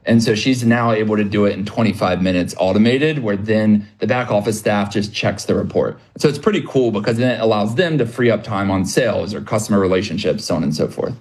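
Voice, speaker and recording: A young man explaining his business as an interview guest, earnest and brisk. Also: fairly low pitch, darkish tone.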